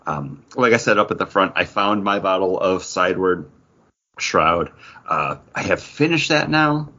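A man with a very deep, husky voice talks in a rambling, chatty way, his pitch leaping up and down. He co-hosts a podcast with casual banter. The recording sounds dull and lo-fi. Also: expressive, a little slow, sharp tone. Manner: chatty, rambling, lots of "like" fillers. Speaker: podcast co-host. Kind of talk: casual chat about comics and beer.

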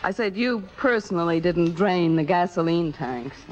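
An actress playing a temperamental, insecure stage star with a halting, self-mocking, theatrical delivery in 1950s radio drama style. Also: female voice, on the low side, animated, natural voice. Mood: wry. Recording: muffled vintage radio broadcast.

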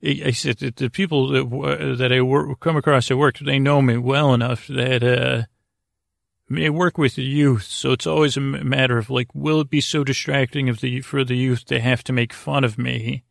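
A man hosting a podcast with a rambling bedtime monologue, halting and meandering, often stammering into false starts. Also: medium pitch, fairly even intonation, no room sound, studio quality. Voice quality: gravelly, creaky. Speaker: podcast host.

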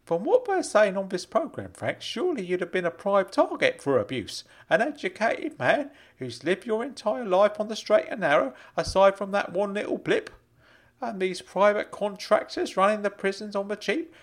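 A man voicing a kindly, fussy older uncle in an audiobook story, earnest and excitable. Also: mid-range voice, normal speed, pitched above the performer's own voice, close to an everyday voice. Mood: worried. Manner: excitable, earnest, swooping delivery. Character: kindly older uncle, fussy, caring, fond of dad jokes.

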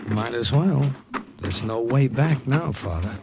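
An actor playing an earnest young American army sergeant who also narrates, in a 1940s radio drama. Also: male voice, medium pitch, expressive, measured pace, creaky, very noisy.

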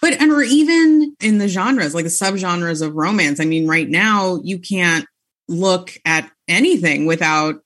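A woman's voice: fairly low pitch, unhurried, a bit bright. A woman author chatting as a podcast guest, talking freely with many "like" fillers and laughing easily. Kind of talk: casual interview chat.

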